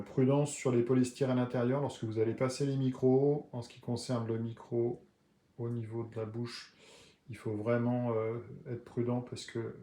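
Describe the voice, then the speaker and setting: A man's voice: medium pitch, mellow. A man presenting a product unboxing, explaining each item step by step. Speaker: motorcycle gear video creator.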